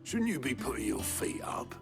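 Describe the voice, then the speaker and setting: Gravelly, gruff, grudging man. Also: medium pitch, sing-song, moderate pace. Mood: grudging concern. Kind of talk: dramatic video game acting. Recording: music clearly behind the voice.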